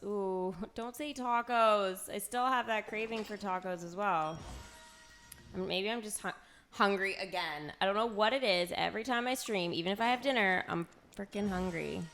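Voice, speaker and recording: A woman's voice: mid-range voice, a bit bright. A woman streaming a video game, chatting casually and playfully as she reacts aloud and banters with viewers. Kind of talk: casual livestream chat over gameplay.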